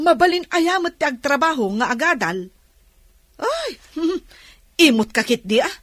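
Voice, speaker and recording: Scornful mooching village auntie played by a woman, Ilocano accent, high swooping voice, exclamatory radio comedy delivery. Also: very animated, takes it slowly, long pauses, fairly bright tone, no echo, clean studio sound, full character voice. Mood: mocking. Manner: mocking, wheedling, exclamatory. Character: nosy, scornful auntie who mooches money from relatives.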